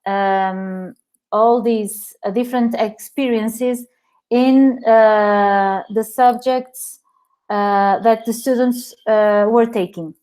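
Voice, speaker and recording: A woman with a Portuguese accent presenting a webinar over a video call, halting often and restarting her sentences. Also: a little high, steady tone, a little mellow, clear, resonant tone. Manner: hesitant, frequent pauses and restarts.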